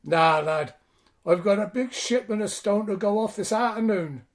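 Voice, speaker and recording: Guy doing middle-aged stone boss, high voice, gruff but kindly dad, radio play style. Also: normal speed. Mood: businesslike. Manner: plain-spoken rustic dialect, bossy. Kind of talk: audio drama acting.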